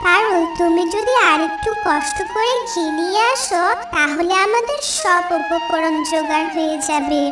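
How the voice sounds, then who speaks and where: A woman playing a clever little cartoon bird with a piercing, very high childlike voice that lilts in a sing-song way as she coaxes and bosses. The voice sits far from the mic in an echoey room, with cheerful music playing clearly underneath. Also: lively intonation, unhurried. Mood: bossy. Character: clever little female bird, sweet and cunning. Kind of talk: cartoon voice acting.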